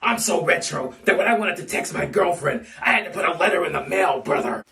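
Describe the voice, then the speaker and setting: Blustering man doing a gravelly wrestling promo. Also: sits high, expressive, brisk, bright, forceful tone, recorded from a distance. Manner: blustering wrestler bravado, tacks on "brother" and "dude". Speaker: fan wrestling promo performer. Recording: roomy echo, off-mic.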